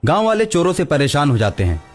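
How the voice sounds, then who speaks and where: A man's voice: on the high side, wildly swooping pitch, quick pace, soft background music. A man narrating a scripted cartoon story as the storyteller.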